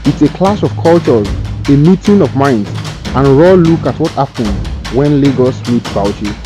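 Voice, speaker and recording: A man narrating a scripted video intro in measured, declamatory short phrases. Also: medium pitch, animated. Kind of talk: dramatic scripted voice-over. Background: drum music.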